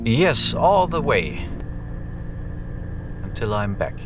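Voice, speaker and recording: A man's voice: mid-range voice, sing-song, takes it slowly. An actor playing a bewildered wandering man who narrates his own journey in a scripted radio play, with music behind him. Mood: bewildered, reflective. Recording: music bed under the voice.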